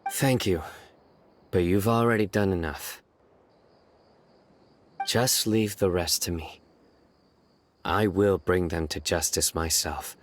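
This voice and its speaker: A grave, deep-voiced enforcer. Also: male voice. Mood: resolute. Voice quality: measured and resolute. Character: grave young man, stern enforcer of justice. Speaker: stern desert lawman. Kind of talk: game dialogue acting.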